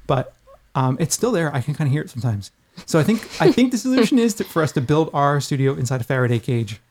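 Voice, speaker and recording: High-voiced, irreverent man co-hosting a podcast. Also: big pitch swings, fast talker, darkish tone. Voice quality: high-pitched voice. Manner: irreverent, casually profane, rambling. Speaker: podcast host. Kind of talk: casual chat.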